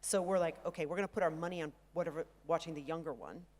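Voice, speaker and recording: A woman panelist in ed tech, speaking with quick, self-deprecating humor into a handheld mic on a conference stage. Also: low-pitched, a little mellow.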